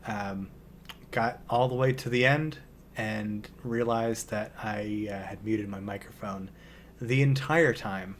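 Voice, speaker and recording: A man with a slightly hoarse voice, hosting a relaxed poetry reading and hesitating often with ums and restarts. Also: on the low side, slow pace, slight background noise. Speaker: poetry channel host. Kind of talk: casual intro and poetry reading.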